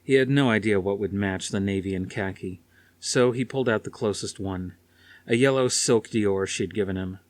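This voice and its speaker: A man's voice: lowish voice, normal speed, no echo. A man reading a dramatic story aloud as scripted audiobook narration. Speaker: audiobook reader.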